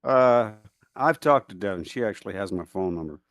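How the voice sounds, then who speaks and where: A man with a deep, projecting voice chatting casually on a remote call, apologetic and self-deprecating. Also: lively intonation, fairly quick, dry recording. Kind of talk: casual chat.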